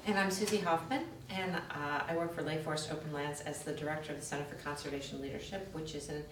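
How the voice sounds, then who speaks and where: A woman's voice: low voice, steady tone, quick pace. A woman from Wisconsin who directs a conservation program, introducing herself to a class with a few fillers. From Milwaukee, Wisconsin. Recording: echoey classroom from far off, audible noise.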